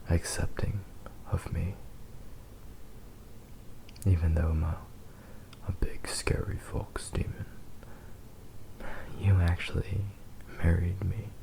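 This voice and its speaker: A man voicing a possessive, doting fox demon husband in an intimate ASMR roleplay, breathy and tender, with soothing, halting delivery. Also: sits low, exaggerated voice, poor audio. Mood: wounded, hushed. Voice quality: breathy, whispery.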